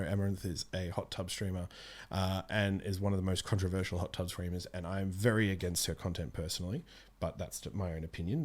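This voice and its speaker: Young adult male, lowish pitch, relaxed conversational interview guest. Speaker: guest, amateur voice actor and streamer. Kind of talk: casual interview chat. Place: small home streaming room, indoors.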